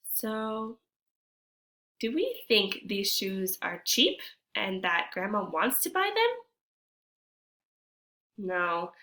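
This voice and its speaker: A woman teacher reading a picture book aloud to children, expressive and deliberate, pausing to put questions to her young listeners. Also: lowish voice. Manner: addresses children directly, deliberate and animated.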